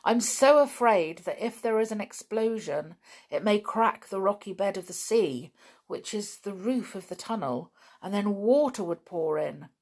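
A woman's voice: medium pitch, expressive, unhurried, a little mellow. A woman reading a storybook aloud, voicing a worried middle-aged male scientist who speaks in an anxious, earnest way.

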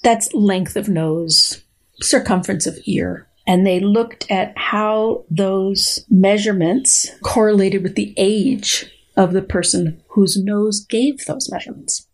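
A middle-aged woman speaks as a guest scientist on a show. Her phrasing is precise and deliberate, with a playful edge. She moves between formal reading aloud and plain conversational explanation. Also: medium pitch.

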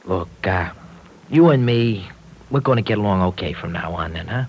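An actor playing a rough, sheepish young American man eager to improve, with slangy, uneducated speech, in old radio soap style. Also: low voice, animated, normal speed, darkish tone. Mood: sheepish, earnest. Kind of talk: 1940s radio soap opera acting. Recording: noisy old radio drama recording.

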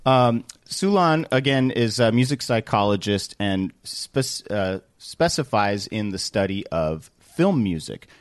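A man hosting a podcast, interviewing casually with informal, halting phrasing. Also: on the low side, animated, dry recording. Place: production studio.

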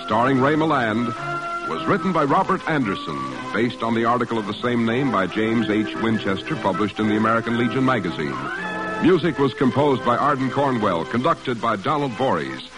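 A man's voice: mid-range voice, expressive, fairly quick, rough recording. Old time radio announcer guy, formal declamatory read, midrange voice, music behind. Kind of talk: 1950s radio scripted narration.

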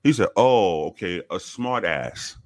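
Very deep-voiced man from the Bronx. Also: measured pace, no echo, clean studio sound. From the Bronx, New York. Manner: profane, animated storytelling with many restarts. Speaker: guest, former police officer. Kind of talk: casual storytelling interview.

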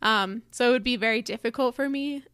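A soft-spoken woman co-hosting a podcast, talking thoughtfully in casual chat. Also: fairly high pitch, brisk, smooth, dry recording, studio quality. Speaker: podcast co-host.

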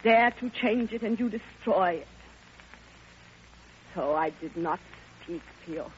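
An actress playing a lonely, lovestruck older Russian widow in an old radio drama, theatrical and yearning, with halting repetitions. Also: female voice, on the low side, lively intonation, a little hiss or hum. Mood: sorrowful resignation. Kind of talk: classic radio drama monologue. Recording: muffled old radio recording, music behind.

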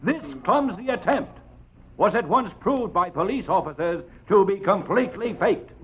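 An actor playing an aggressive, eloquent prosecutor in an old radio drama, crisp and oratorical with dramatic pauses. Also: male voice, high voice, animated. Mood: insistent, grave. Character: aggressive, eloquent male assistant district attorney in court. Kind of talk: old radio drama acting, courtroom speech. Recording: dull vintage radio drama recording.

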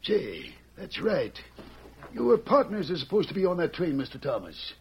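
A husky, gravelly American sheriff of the Old West, speaks in a folksy drawl. His fairly high voice moves around in lively swings as he mulls things over. It sounds like a dull old radio western. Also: normal speed, full character voice. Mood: curious, musing. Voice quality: raspy, gravelly.

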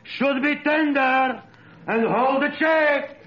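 Pompous high-voiced maestro. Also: male voice, slow, heavy background noise. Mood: grandly eager. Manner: pompous, theatrical. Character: pompous, theatrical male music maestro turned waiter. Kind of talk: 1940s radio comedy acting. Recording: muffled old radio broadcast.

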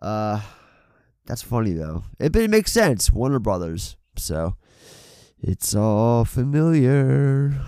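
A man's voice: mid-range voice, very animated, slow pace, dark tone, clear, resonant tone, dry recording, clean studio sound. A man with a stuffy head cold, co-hosting a casual film podcast, rambling with many fillers and casual swearing into a desk mic. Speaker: podcast co-host. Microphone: desk mic on an arm.

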